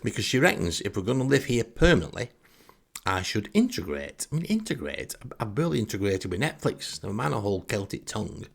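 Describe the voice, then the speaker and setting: Gravelly Northern English man, wry comic storyteller. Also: lowish voice, very animated, brisk. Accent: Northern English, Lancashire. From northern England. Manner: wry, self-deprecating, grumbling. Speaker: podcast host. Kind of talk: comic first-person monologue.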